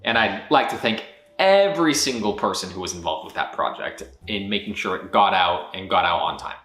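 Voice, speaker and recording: A man's voice: deep voice, animated, fairly quick, fairly bright tone. A man hosting his channel's casual year-end recap, rambling and breaking off into jokey asides. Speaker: channel host. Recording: roomy echo with faint music.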